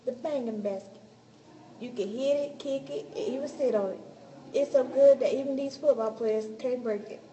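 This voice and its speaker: A woman's voice: high-pitched, normal speed, dark tone, slight background noise, natural voice. A young woman playing a commercial announcer in an amateur radio production. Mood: selling. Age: young adult. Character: punchy commercial and TV promo announcer. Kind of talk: mock advert in student radio play. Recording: slightly distant, music behind.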